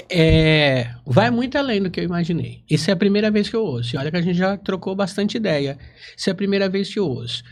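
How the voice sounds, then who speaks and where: High-pitched Brazilian man hosting. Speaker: podcast host. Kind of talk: casual interview chat.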